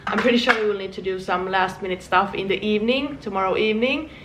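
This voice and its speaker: A woman's voice: mid-range voice, quick pace, fairly bright tone, distant mic, a little hiss or hum. A young woman from Finland, chatting casually to her vlog viewers at home, rambling with small restarts and laughs. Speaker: vlogger. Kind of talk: casual chat. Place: home room. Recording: echoey room, voice from off-mic.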